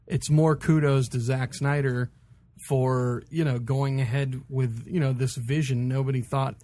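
A man with a medium-pitched voice talks as a podcast panelist. His delivery is casual and rambling, full of loose, conversational asides. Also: flat delivery, measured pace, dark tone. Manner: rambling, peppered with "like". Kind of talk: casual chat.

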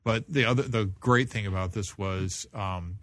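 Co-host: middle-aged American man, slightly low register, informal conversational delivery. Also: normal speed, fairly bright tone, dry recording. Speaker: podcast co-host. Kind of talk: casual comics chat.

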